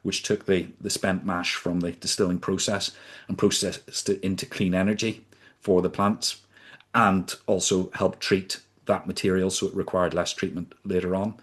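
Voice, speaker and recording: A man in his fifties from the UK, a podcast guest recounting his career in a measured, deliberate way. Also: low voice, little pitch movement, husky. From United Kingdom. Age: 50s. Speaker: podcast guest, sustainability consultant. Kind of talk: reflective storytelling in conversation.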